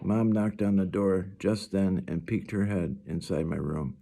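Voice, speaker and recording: Male read-aloud narrator for children's fiction, low register, gravelly fry. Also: unhurried, dark tone. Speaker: reader of a children's novel.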